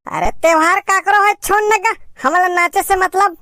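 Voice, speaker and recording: Squeaky, brash young village reveller voiced by a woman. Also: fairly even intonation, normal speed, pushed and bright, no echo, studio quality. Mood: impatient. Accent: Chhattisgarhi. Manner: brash, impatient. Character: impatient, boisterous young village reveller eager to dance. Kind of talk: cartoon comedy dialogue.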